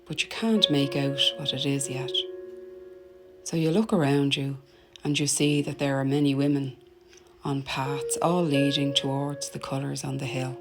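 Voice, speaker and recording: Very deep-voiced woman guiding meditation. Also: steady tone. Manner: soothing, gentle, deliberate with soft phrase endings. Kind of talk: guided meditation narration. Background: meditation music.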